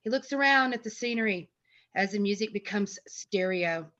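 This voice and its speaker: A woman's voice: mid-range voice, animated, moderate pace, fairly bright tone, dull audio. A woman reading screenplay stage directions as scripted narration, on a noisy amateur online-call recording.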